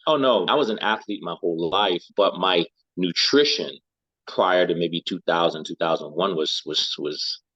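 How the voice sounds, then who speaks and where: Man, middle-aged, from Liberia, very low voice, reflective, dry dull recording. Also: moderate pace, fairly bright tone, husky, no echo. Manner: thoughtful, repeats words as he searches. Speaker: guest, health and lifestyle coach. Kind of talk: reflective interview answers.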